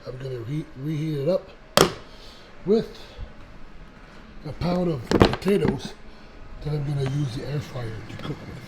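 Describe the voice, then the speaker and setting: A young man with a voice gone raspy from a cold, chatting casually to his viewers in a rambling way with many restarts and fillers. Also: a little high, measured pace, long pauses, heavy background noise. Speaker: vlogger. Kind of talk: casual chat to camera.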